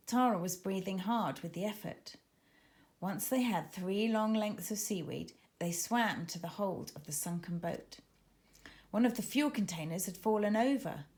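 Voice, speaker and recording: A woman reading her children's book aloud as a dramatic, suspenseful and expressive narrator. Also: mid-range voice. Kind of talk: expressive scripted story narration.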